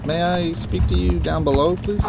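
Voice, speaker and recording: An actor playing a hot-headed, skeptical salvage skipper, blunt and sarcastic, in an audio drama. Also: male voice, a little high, fairly even intonation, normal speed, vocal fry, over loud music. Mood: exasperated.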